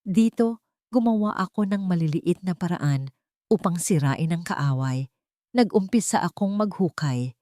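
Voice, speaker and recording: A woman narrates an emotional audio drama in the first person. Her voice is a little low for a woman, and the scripted delivery is dramatic. The recording is very dry, with no room sound. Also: animated, a little slow, mellow, dry recording. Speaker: story narrator.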